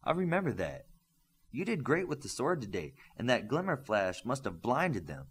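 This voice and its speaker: An earnest young superhero teammate, read by a male narrator in an audiobook. The delivery is agreeable and explanatory, with lively movement in pitch. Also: moderate pace, husky.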